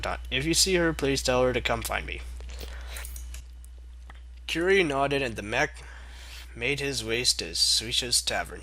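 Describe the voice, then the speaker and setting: A young man reads a fan story aloud with a very bright, forceful voice that swings widely in pitch. He keeps stopping to mock the text in sarcastic, exasperated asides, and the reading stays loose and comedic. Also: a little hiss or hum, low-quality recording. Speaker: fanfic reader.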